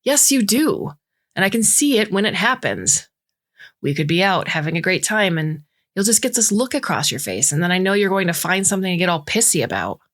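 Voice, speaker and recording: A woman's voice: on the low side, very animated, quick pace, hardly any pauses, fairly bright tone. A woman voicing a defensive, exasperated boyfriend in a lovers' quarrel, arguing colloquially in dramatized story dialogue. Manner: argumentative, colloquial.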